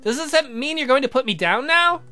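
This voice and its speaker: Guy doing a sweet willful young bride for a visual novel, real high n bright, eager and sure of herself. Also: expressive, moderate pace, faint music behind, exaggerated voice. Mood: playful. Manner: eager, sweet, self-assured. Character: willful young lady, adoring bride of a vampire count. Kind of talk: voiced visual novel dialogue.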